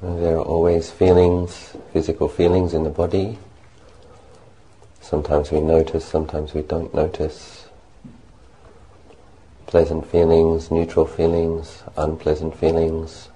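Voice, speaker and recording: A man leading a guided meditation as a monk, speaking gently and unhurriedly in short phrases with long pauses. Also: rumbling low, slight background noise, low-quality recording.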